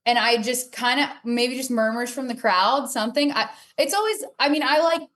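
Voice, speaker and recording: Woman, high voice, casual podcast guest, storytelling with quoted dialogue, conversational. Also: normal speed, a bit bright. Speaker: podcast guest, cornhole player. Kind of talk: casual interview chat.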